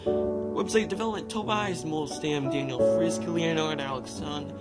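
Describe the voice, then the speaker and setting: A man with a slightly high voice narrates casually, as if for a homemade gaming video. He reads aloud in an informal way, tripping over words and names and adding loose asides. Loud instrumental music plays behind him throughout. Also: measured pace.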